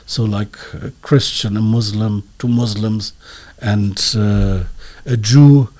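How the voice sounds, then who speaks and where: A man's voice: on the low side, fairly even intonation, slow pace, mellow. A man speaking as an expert interview guest, explaining in a hesitant, scholarly way and searching for his words.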